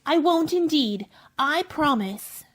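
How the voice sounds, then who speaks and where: A woman's voice: high voice, animated, slow, fairly bright tone, full character voice. A woman playing a polite, curious young girl in a radio play, talking with mannered wonder. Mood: apologetic.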